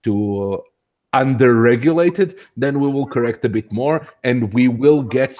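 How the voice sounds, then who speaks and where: A man's voice: medium pitch, a little slow, darkish tone. A man talks through his argument in a casual debate, earnest and insistent, stacking up examples one after another. His voice sits in an ordinary middle range. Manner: earnest, argumentative, builds points in lists.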